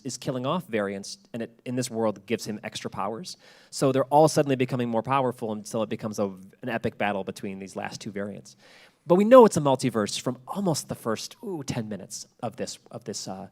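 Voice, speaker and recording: A man presenting an informal lecture to an audience, explaining ideas and posing rhetorical questions as he goes. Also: medium pitch. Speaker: presenter giving a talk on podcast world-building.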